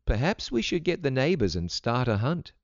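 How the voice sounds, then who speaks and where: A caring, anxious storybook mother , acted out by a man. The manner is gentle and concerned, with an animated rise and fall. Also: mid-range voice, normal speed, creaky, dry recording, lo-fi sound, pitched above the performer's own voice.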